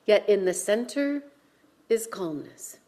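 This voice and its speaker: A woman minister delivering a sermon in a deliberate way, with pauses and dramatic emphasis. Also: mid-range voice, very animated, dark tone.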